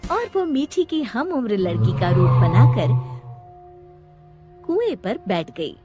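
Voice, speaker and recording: A woman's voice: on the high side, wildly swooping pitch, slow. A woman narrating a scripted children's story as a storyteller. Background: music.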